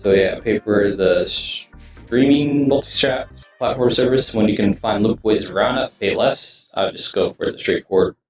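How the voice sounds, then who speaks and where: Low-voiced man rambling, echoey and distant. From United States. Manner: rambling, halting, often trailing off mid-sentence. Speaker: video game streamer. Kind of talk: casual rambling vlog talk. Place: indoors in his streaming room setup. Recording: roomy echo, distant mic, music behind.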